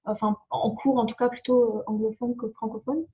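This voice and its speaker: Young woman, native English speaker, hesitant, many fillers, slightly high voice. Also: steady tone, fairly quick, mellow, lo-fi sound. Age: young adult. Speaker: exchange student guest. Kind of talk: casual interview answers.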